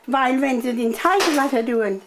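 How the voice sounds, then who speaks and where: A woman's voice: sits high, steady tone, moderate pace, background noise. A woman in her eighties with a Palatinate accent, patiently showing how to cook in her home kitchen, often chuckling. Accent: Palatinate German. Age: 80s. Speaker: farm cook sharing recipes. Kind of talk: casual cooking chat. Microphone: lapel. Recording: clattering dishes and pans behind.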